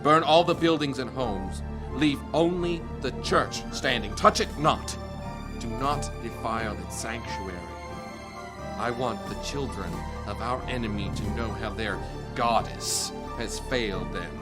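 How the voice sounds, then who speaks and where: A man playing a commanding, zealous dark priest in a fantasy audio drama, speaking in grandiose, measured oratory. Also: medium pitch, loud music behind the voice, lightly acted. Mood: solemn menace.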